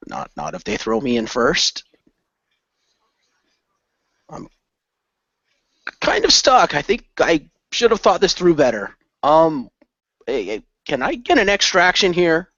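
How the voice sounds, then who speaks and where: A man's voice: fairly high pitch, sing-song, slow, long pauses, a bit bright, dry recording, full character voice. Casting: man playing clawed beast adventurer, mid-range everyday voice, fretful and sheepish, audio role-play, dry dull sound. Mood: sheepish, worried. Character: clawed beast fighter, plain-spoken and fretful.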